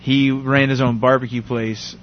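A man's voice: mid-range voice, level tone, normal speed, a little hiss or hum. A man co-hosting a podcast, rambling and joking in a casual chat. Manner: rambling, joking, laughs often. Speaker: podcast co-host. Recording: dull lo-fi audio.

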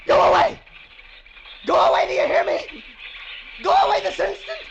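Unhinged French thespian, hammy and grandiose, wildly swinging pitch, old radio drama. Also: male voice, very animated, normal speed, gravelly, lo-fi sound, background noise, full character voice. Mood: panicked. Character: hysterical, mad, theatrical French actor turned lighthouse keeper.

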